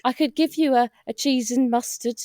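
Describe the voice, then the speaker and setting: A woman's voice: sits high, fairly even intonation, moderate pace, warm tone, natural voice. A woman playing a polite, officious, flustered hospital attendant in a comedic audio roleplay, formal and courteous with a slight stammer.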